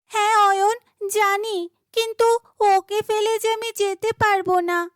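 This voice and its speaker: Casting: woman for fairytale princess, youthful and high, brave, tender-hearted, earnest resolve, dry sound. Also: little pitch movement, slow pace, dry recording. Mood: determined. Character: brave, kind, determined young princess.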